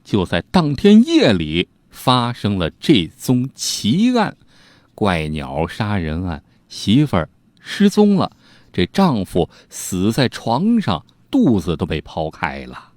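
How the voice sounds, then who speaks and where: A man telling an old crime tale in an animated, conversational storytelling style, with spoken asides. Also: lowish voice, slow pace, dry recording. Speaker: storyteller host.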